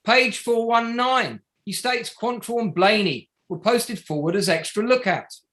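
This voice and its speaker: An actor playing an indignant barrister in a play reading, making formal submissions to an inquiry in a deliberate, emphatic way with pauses between short phrases. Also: male voice, sits high, fairly bright tone. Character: indignant male barrister addressing a public inquiry. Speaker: actor in an online table read.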